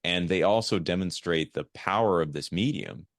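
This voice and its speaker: A man's voice: deep voice, normal speed, dry recording, clean studio sound. An American man hosting a podcast, chatting casually with his guest. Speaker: podcast host. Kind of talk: casual interview chat.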